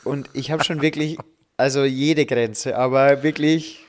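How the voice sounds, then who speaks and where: A man chats casually as a podcast co-host, telling anecdotes in a joking, animated way. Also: mid-range voice, unhurried, a little hiss or hum. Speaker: podcast co-host, school teacher.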